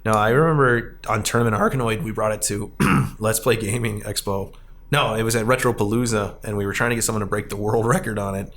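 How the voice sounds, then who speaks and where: A man's voice: on the low side, steady tone, a little fast, fairly bright tone. A man hosting a casual podcast discussion, talking in an enthusiastic, rambling way. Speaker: podcast host and arcade owner. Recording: clear background noise.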